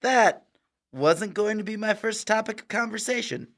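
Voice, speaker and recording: A man playing a cheeky, easygoing and slightly dim teenage high school boy in an audio drama, with breezy, slangy talk. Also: normal speed, fairly bright tone. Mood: bemused.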